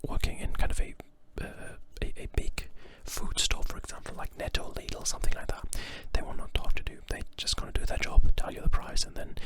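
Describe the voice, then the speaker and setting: A German-accented native German speaker teaching a language lesson in English, speaking in a soft, patient whisper throughout. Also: brisk, hardly any pauses.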